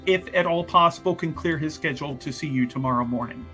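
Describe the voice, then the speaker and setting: A man acts out a flustered university official in a tabletop game, with a fairly high voice. He is deferential and eager to placate, stumbling through formal apologies and courtesies. Faint music plays behind him. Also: a bit bright, natural voice. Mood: accommodating. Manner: halting, deferential, over-apologetic, formal wording. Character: flustered, obsequious male university representative smoothing over trouble. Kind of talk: improvised tabletop roleplay.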